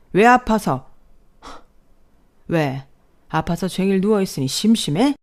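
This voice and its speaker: A woman's voice: lowish voice, a little mellow, lightly acted. A woman doing a blunt, scolding old father-in-law. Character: gruff exasperated older father-in-law.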